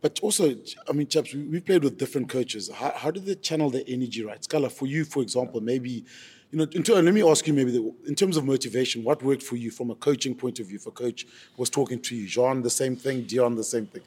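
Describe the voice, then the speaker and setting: A male host with a South African accent asks questions in a casual chat. His speech is very quick and his mid-pitched voice has a rough, husky grain. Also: a little mellow. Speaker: podcast host. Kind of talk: casual interviewing.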